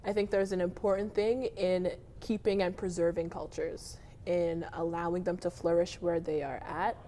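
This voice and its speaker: A woman from a family from Nigeria, giving thoughtful, measured interview answers. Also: fairly low pitch, level tone, dark tone, a little hiss or hum.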